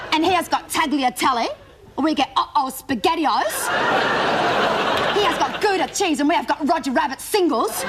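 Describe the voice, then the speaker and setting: A woman's voice: sits high, natural voice. A woman with an Australian accent playing a gossipy, easily embarrassed teenage schoolgirl, rambling breathlessly through a comedy monologue. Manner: breathless rambling storytelling, mocking asides. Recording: studio audience laughter and noise.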